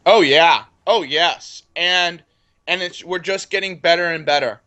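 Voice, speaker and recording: Young male entrepreneur in his twenties, confident and self-assured, somewhat high voice, stumbles over his sentence openings. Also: unhurried, pushed and bright, lo-fi sound. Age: 20s. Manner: confident, repeats words when starting sentences. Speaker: entrepreneur guest. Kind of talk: casual interview talk.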